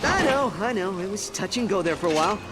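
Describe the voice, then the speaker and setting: A breezy, self-assured young alien hero with a bright, high voice. His lines bounce with lively pitch. Loud game music plays underneath. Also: fast talker. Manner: cocky, breezy. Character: cocky young male furry alien hero. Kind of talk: video game cutscene acting.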